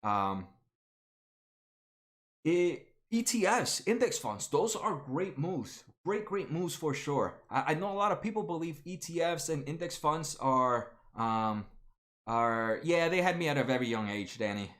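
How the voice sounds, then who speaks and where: A man's voice: a little high, animated, unhurried, fairly bright tone. A man in his late twenties hosting a casual stock market livestream, talking to viewers by name with lots of fillers and restarts. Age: 20s. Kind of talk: casual livestream chat reading viewer comments.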